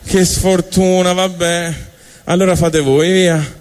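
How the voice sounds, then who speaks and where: A man's voice: high voice, steady tone, slow pace, in a large hall, pitched above the performer's own voice. A man voicing lazy, carefree monkeys who feign helplessness with mock innocence in a comic monologue. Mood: mock-innocent.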